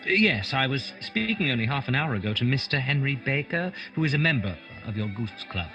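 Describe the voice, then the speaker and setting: A man acts a brisk radio-play detective who has no patience for sentiment. His pitch is animated and swings widely as he gives orders and reasons aloud. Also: highs cut off, soft background music. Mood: brisk, urgent. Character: brisk, impatient, commanding male detective, all business. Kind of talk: radio drama acting.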